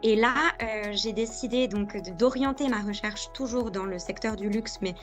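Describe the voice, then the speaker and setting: A woman's voice: medium pitch, moderate pace, soft background music. A young woman from France telling her career story in a webinar, talking hesitantly with many fillers and restarts. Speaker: graduate speaker in a webinar. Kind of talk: unscripted personal account.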